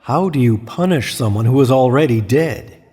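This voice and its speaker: A man's voice: medium pitch, animated, moderate pace, dark tone, no room sound. An actor playing an earnest, guarded dead man in Hell, in audio drama dialogue and first-person narration. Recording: clear background noise.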